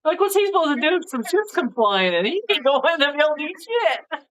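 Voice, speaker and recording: Texan woman, fairly high voice, playful movie-reaction co-host. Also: very animated, fairly quick, a bit bright. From West Texas. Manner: playful, laughs easily, teasing banter. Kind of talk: casual movie reaction chat.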